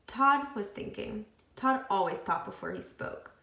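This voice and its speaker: A woman reading a children's book aloud expressively, with deliberate phrasing and acted dialogue. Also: medium pitch, expressive, vocal fry, sharp tone, roomy sound. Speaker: read-aloud storyteller.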